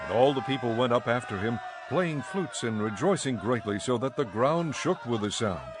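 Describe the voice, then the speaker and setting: A man narrating scripture in a measured, formal storytelling manner for a dramatized audiobook. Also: mid-range voice, expressive, noisy background.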